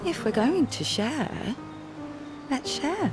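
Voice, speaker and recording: A wry expedition member from a video game. She speaks slowly and deliberately with a lively melodic range, dry and guarded, over clearly audible music. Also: sits high. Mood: dry, teasing. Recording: music under dialogue.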